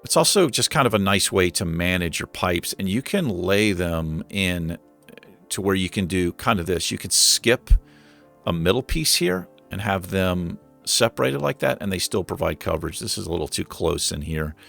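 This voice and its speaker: A man casually commenting on his city-building game while he plays, thinking aloud with lots of fillers, his voice going hoarse. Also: sits low, very animated, moderate pace, a bit bright, soft background music. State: losing his voice. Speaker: gaming channel host.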